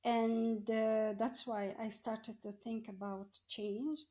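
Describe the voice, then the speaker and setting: A middle-aged woman tells her personal story in an interview, often halting and searching for words. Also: a little high, little pitch movement, dark tone. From Morocco. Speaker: podcast guest, coach.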